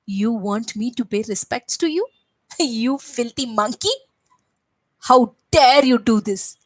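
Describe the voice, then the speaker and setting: A woman voicing a furious, arrogant male demon king in a told myth, loud and scornful. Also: extremely high pitch, animated, normal speed, no room sound, pitched above the performer's own voice. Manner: roaring, haughty, scornful.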